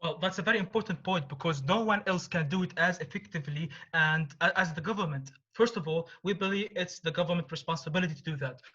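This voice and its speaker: Male debater, Arabic accent, high pitch, sharp forceful tone, earnest argument, dull online-call audio. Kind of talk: competitive debate speech.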